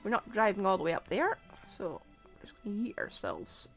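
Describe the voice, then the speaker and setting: A woman's voice: medium pitch, big pitch swings, a little fast, creaky, soft background music. A woman streaming a game, giving casual commentary with whimsical, playful asides.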